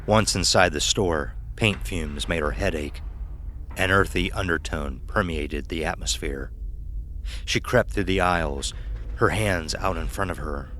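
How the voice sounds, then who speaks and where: A man's voice: sits low, a little slow, bright, forceful tone, slight background noise. A man narrating a scripted horror story for an audio drama.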